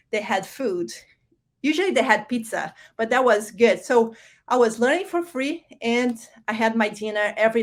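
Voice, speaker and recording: Brazilian woman giving a conference keynote. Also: on the high side. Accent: Brazilian Portuguese. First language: Portuguese. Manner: conversational, many fillers and restarts. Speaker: keynote speaker.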